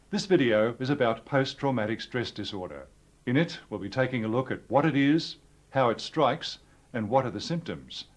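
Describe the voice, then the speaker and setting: An Australian man presenting an educational film in a measured, explanatory, scripted style. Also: mid-range voice.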